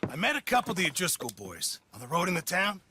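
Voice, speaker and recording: An actor playing a boastful, bumbling fat outlaw, hesitant and blustering. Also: male voice, high voice, wildly swooping pitch, fast, background noise. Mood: nervous, boastful.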